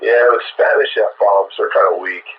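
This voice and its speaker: A man's voice: on the low side, steady tone, moderate pace. A middle-aged man making wry, casual small talk over two-way radio. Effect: two-way radio transmission. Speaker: amateur CB radio operator and radio repairer. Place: heard through a CB radio receiver. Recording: noisy, muffled CB radio signal.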